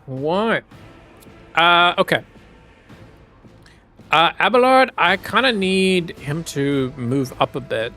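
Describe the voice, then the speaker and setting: A man's voice: a little high, animated, takes it slowly, pauses often, pushed and bright, soft background music. A man from Zimbabwe streaming a game, chatting easily with his viewers and laughing often while he plays. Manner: easygoing, chatty, laughs often, talks to chat. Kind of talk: casual gameplay commentary.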